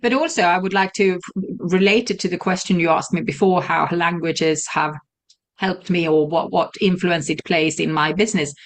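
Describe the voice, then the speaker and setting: A woman with a southern Swedish accent, speaking English as a guest in a casual interview, friendly and quick to laugh. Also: on the low side, fairly even intonation. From Sweden. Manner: friendly, laughs easily, fillers. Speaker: guest, virtual assistant.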